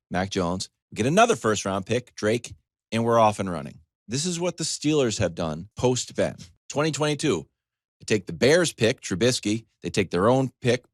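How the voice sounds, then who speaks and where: A man's voice: medium pitch, no echo, studio quality. A man hosting a sports talk show, delivering a comedic, conversational monologue with emphatic lists, joking asides and acted-out questions.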